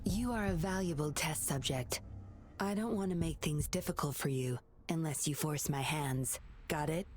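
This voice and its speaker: A woman's voice: deep voice, normal speed, darkish tone, close to an everyday voice. An actress playing a cool, sly, commanding female operative in a video game, with a controlled, taunting, menacing manner. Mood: controlled menace.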